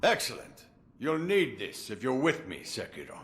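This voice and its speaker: A man's voice: mid-range voice, big pitch swings, a bit bright. An actor playing a boisterous, menacing old swordsman in a tengu guise, theatrical and commanding, in a video game cutscene. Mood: sly, commanding. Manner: theatrical, slow, chuckling and commanding.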